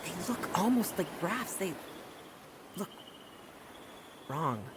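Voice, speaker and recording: A woman's voice: on the low side, very animated, slow, noisy background. An actress in an audio drama playing a wary, intense, driven rural woman doctor. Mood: wary, uneasy.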